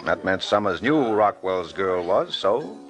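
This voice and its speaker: An American actor playing a wry, confident investigator in a vintage radio drama, speaking in a brisk, plain-spoken way. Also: male voice, low-pitched, very animated, music in the background, full character voice. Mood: explaining, assured. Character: wry, confident male investigator explaining a murder case. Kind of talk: 1940s radio drama acting.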